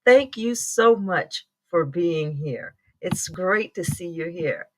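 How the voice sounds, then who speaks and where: A woman's voice: fairly low pitch, animated, takes it slowly, a little hiss or hum, rough recording. A middle-aged woman talks her way through a casual morning livestream in a rambling, chatty manner. She greets viewers by name and breaks into laughter often. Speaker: livestream host.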